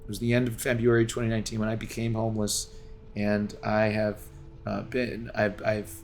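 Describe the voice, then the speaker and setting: A man's voice: lowish voice, monotone, moderate pace, a little mellow, soft background music. A game streamer from Los Angeles talks to his viewers in a long, earnest, rambling monologue full of restarts and fillers, speaking from home.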